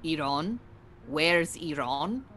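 Actress as stern, low-voiced Iranian refugee woman. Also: lively intonation, slow, fairly bright tone, soft background music, full character voice. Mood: dry, disapproving. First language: Farsi. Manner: formal, precise, bossy, dry. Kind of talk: audio theatre acting.

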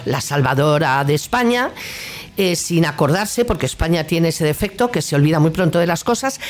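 A woman with a Castilian Spanish accent hosting a radio show, delivering a political opinion monologue in an indignant, sarcastic, colloquial way. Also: lowish voice.

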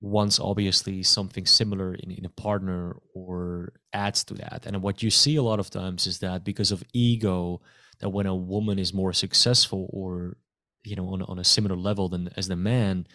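A man's voice: deep voice, little pitch movement, normal speed, bright, forceful tone, no room sound, studio quality. A man hosting a podcast interview, keen and chatty, often restarting his sentences and filling them with "you know". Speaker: podcast host.

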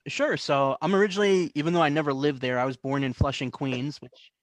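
A man's voice: a little high, fairly even intonation, a little fast, no echo. A young adult man from the New York area telling stories as a guest in a casual interview, with many fillers and restarts. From New York and New Jersey, US. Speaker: guest artist.

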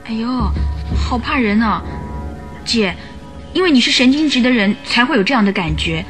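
A woman's voice: a little high, animated, normal speed, a bit bright. An actress playing an anxious young woman who recounts events earnestly in a radio drama. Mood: frightened. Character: anxious young woman client recounting her sister's death. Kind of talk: Mandarin radio drama acting. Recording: radio drama with music behind.

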